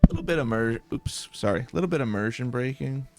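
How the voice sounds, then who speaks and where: A man talks through a video game at an easy, unhurried clip. His voice sits in a middle register with a noticeable fry, and he is softly playful. Game music plays behind him. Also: medium pitch, lively intonation. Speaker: video game streamer, let's player. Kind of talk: calm, slow-paced gameplay chat with read-aloud game text.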